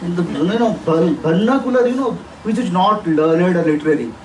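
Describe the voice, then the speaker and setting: A man's voice: high voice, expressive, a little fast, a little mellow, echoey room, far from the mic, slight background noise, rough recording. A man from India giving an unscripted conference talk, hesitant and full of fillers, earnestly pleading with his audience. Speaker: conference speaker. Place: auditorium.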